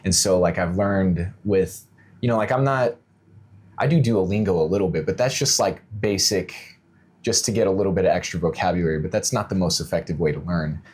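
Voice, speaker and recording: A man's voice: low-pitched, brisk, darkish tone, breathy edge. A man chatting casually as the interviewer in a podcast conversation.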